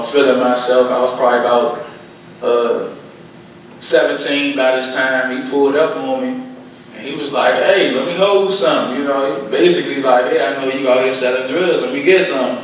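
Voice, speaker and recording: A man's voice: mid-range voice, steady tone, normal speed. A man with a Washington DC accent speaking plainly and colloquially to an audience as a guest poet and speaker, recorded from a distance in a very echoey room with faint noise. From Washington DC, United States. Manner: plain-spoken, colloquial storytelling. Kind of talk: poem recital and personal testimony to an audience. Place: echoing venue at a conference.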